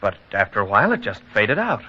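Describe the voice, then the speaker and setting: A man's voice: fairly low pitch, wildly swooping pitch, quick pace, pushed and bright, breathy edge, exaggerated voice. A man playing a puzzled, chatty villager in a 1940s radio drama, with a folksy manner. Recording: muffled vintage radio.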